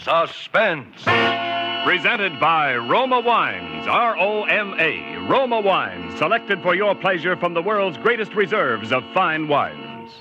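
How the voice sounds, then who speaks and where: A man's voice: a little high, wildly swooping pitch, slow. A man announcing a radio programme and its sponsor in formal 1940s broadcast style. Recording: dull vintage radio broadcast, music behind.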